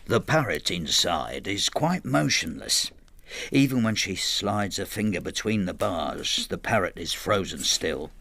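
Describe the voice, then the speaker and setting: An actor in a radio drama playing a plain-spoken working man in his sixties, telling his story in a measured, understated way. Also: sits low, animated, pushed and bright, heavily acted. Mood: brooding, wry. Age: 60s. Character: retired working-class widower, plain-spoken, quietly bitter husband. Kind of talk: radio drama narration and dialogue.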